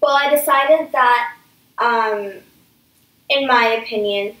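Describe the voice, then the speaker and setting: A woman's voice: on the high side, slow pace, bright, forceful tone. A woman answering as an interview guest in an explanatory way, recorded at a distance in an echoey room.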